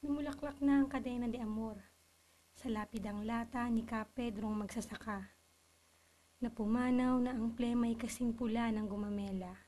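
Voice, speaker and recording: Female poetry reader, dark soft tone, somewhat high register, solemn and measured literary delivery. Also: fairly even intonation.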